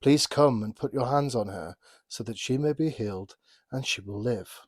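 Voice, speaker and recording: Reader as imploring father and religious leader, mid-pitched, scripture drama, dry. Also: normal speed, no echo, close to an everyday voice. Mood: pleading. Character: pleading father, a synagogue leader.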